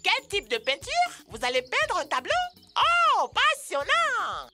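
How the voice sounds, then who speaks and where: High, swooping cartoon mother bird. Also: slow pace, over loud music, full character voice. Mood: excited. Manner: expressive, instructive. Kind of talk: animated educational cartoon dub.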